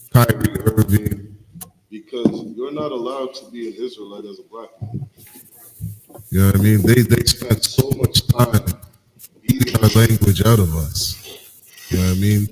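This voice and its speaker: A man with a fairly low, warm, dark voice teaches scripture in an emphatic, urging manner, doubling back on his words. His voice carries a long reverb from a large hall, with faint noise behind it. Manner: emphatic, urging, repeats himself. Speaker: teacher leading a Bible study. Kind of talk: sermon-style teaching.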